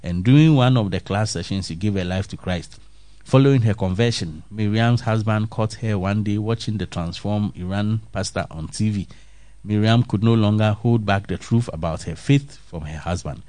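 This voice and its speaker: A man's voice: lowish voice, moderate pace, dark tone, no echo. A man reading a faith news story aloud, then musing on it in an earnest, reflective way with restarts and fillers.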